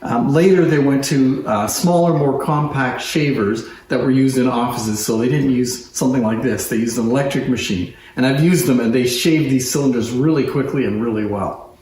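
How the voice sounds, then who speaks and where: A man's voice: medium pitch, moderate pace, a little mellow. A man giving an informal hands-on demonstration as a presenter, explaining things as he goes. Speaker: presenter showing antique phonograph machines. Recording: roomy echo, clear background noise.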